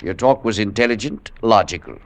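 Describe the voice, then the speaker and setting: An actor playing a curt, blunt professor who dislikes people, in a 1940s radio drama. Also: male voice, on the low side, lively intonation, brisk, vocal fry. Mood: blunt, dismissive. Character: brusque, sharp-featured misanthropic male professor, odd little man. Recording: vintage 1940s radio recording.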